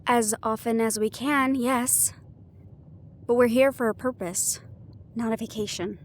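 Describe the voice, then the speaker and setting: A high-voiced, earnest young woman played by a voice actress in a fantasy audio drama. She is a weary investigator of the arcane, speaking hesitantly and conversationally over faint ambience. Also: fairly even intonation, measured pace, slight background noise, close to an everyday voice. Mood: guarded, tired. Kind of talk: fantasy audio roleplay acting.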